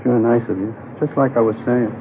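An actor playing a talkative, friendly civilian in a 1950s radio drama, with a chatty, folksy manner. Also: male voice, medium pitch, fairly even intonation, fairly quick, close to an everyday voice. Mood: chatty, hopeful. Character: talkative, friendly civilian man named Bert tagging along with police. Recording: tinny, noisy 1950s radio recording.

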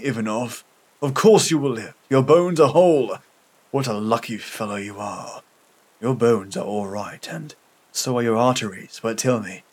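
A kindly army medical officer, acted by a male storyteller in an audio war story. He talks in a gentle, reassuring way at a medium pitch, like one caring for a patient. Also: husky. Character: tall, lean, very kindly military sanitary officer.

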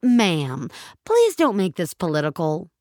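A woman's voice: lowish voice, huge pitch swings, a little slow, a little mellow, no room sound, clean studio sound. Woman doing a weary, condescending male lawyer. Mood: weary, pleading. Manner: coaxing, officious. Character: weary male public defender, placating, condescending.

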